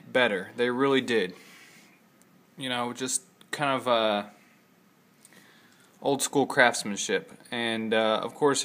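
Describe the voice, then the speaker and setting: A man's voice: fairly low pitch, a bit bright. A man giving a casual, off-the-cuff show-and-tell in his living room, speaking in a rambling, hesitant, informal way. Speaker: hobbyist showing his vintage audio gear.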